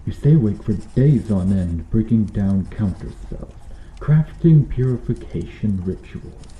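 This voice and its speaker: A man voicing a cruel, bitter medieval knight in a slow-burn ASMR roleplay, speaking with brooding, deliberate menace. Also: fairly low pitch, lively intonation, echoey room, a little hiss or hum, rough recording. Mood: bitter, frustrated. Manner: brooding, menacing, deliberate delivery with dropping hushed phrases.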